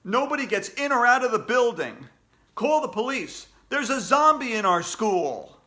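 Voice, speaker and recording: Man playing a panicky school boss shouting. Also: extremely high pitch, big pitch swings, moderate pace, voice pushed up, full character voice. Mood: panicked. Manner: shouting orders. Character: panicky, bossy male school authority figure.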